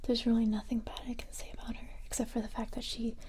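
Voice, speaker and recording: A woman playing a calm, confident special-forces police recruiter in a soft-spoken ASMR roleplay, whispering breathily in a gentle, unhurried, matter-of-fact way. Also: on the high side, natural voice. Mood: calm, confiding.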